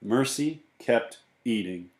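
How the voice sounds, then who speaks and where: A man reads a children's story aloud in a roomy, echoey space. His mid-range voice moves up and down in lively, animated narration. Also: medium pitch, slow pace. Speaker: storybook reader.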